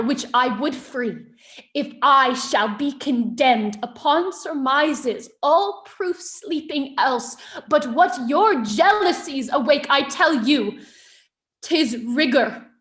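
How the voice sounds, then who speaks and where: Wronged Shakespearean queen played by a woman: grieving yet defiant, declamatory stage delivery, raised pitch, echoing room. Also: fairly bright tone. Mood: impassioned, defiant. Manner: declamatory, deliberate, impassioned. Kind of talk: Shakespearean stage monologue in heightened verse. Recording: roomy, echoing space.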